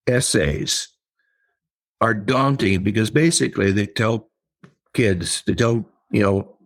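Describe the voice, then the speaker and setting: Low-voiced older man, halting and thoughtful. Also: vocal fry. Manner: thoughtful, halting with many fillers and repeats. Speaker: author and writing teacher. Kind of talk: casual interview conversation.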